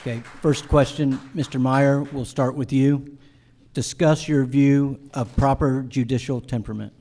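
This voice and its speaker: A man's voice: mid-range voice, fairly even intonation, unhurried, dark tone. A man moderating a candidate forum in a formal style. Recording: faint ambient room noise.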